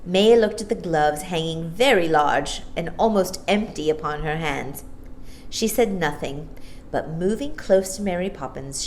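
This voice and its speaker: A woman reads a children's story aloud with an animated, expressive delivery. Her voice sits a little low and moves widely in pitch, over faint background noise. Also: rough recording.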